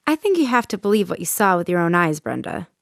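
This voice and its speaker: A woman playing an animated, incredulous radio studio presenter in a radio play, with an expressive, questioning delivery. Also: fairly low pitch, quick pace, creaky, very noisy. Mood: calm, attentive.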